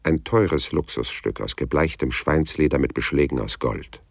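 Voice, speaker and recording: A man's voice: extremely low pitch, normal speed, gravelly, slightly rough, dry recording, full character voice. An actor playing a laconic, world-weary private detective who narrates his story dryly in a noir radio drama. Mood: dry, wry. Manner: dry, laconic. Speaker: narrator and lead character.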